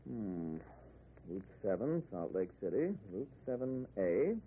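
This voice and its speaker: An actor playing a friendly, talkative driver in a breezy, nosy manner, in 1940s radio drama style. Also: male voice, fairly low pitch, sing-song, slow. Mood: businesslike. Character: chatty, friendly middle-class man driving at night. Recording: tinny, noisy old radio recording.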